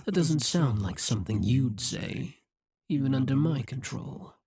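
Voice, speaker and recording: A man voicing a possessive, scheming demon king in an audio roleplay, speaking slowly and condescendingly. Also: medium pitch, expressive, mellow, husky, dry recording, studio quality. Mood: brooding. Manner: condescending, slow and musing.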